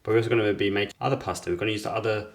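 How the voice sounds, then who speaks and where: A man's voice: lowish voice, rapid-fire. A young man hosting a home cooking vlog, talking casually and rambling as he corrects himself mid-sentence. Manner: relaxed, rambling, self-correcting. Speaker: cooking channel host. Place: home kitchen.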